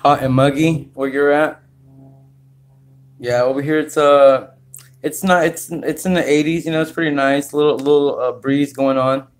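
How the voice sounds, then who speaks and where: A man from California hosting a casual livestream, talking slowly with many fillers as he greets viewers. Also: fairly high pitch, fairly even intonation. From California, United States. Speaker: livestream host. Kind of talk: casual livestream chat while sorting coins.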